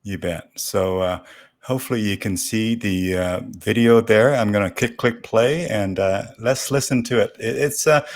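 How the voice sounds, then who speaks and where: Man, low voice, talk show host, casual interviewing, hesitant and rambling phrasing. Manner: halting, rambling speech with many hesitations. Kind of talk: casual live online interview.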